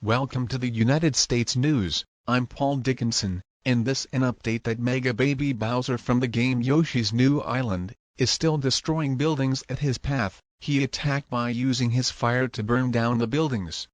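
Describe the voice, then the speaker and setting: A man's voice: mid-range voice, normal speed, hardly any pauses, no room sound, lightly acted. A man playing a formal, unflappable TV news anchor reading a scripted bulletin.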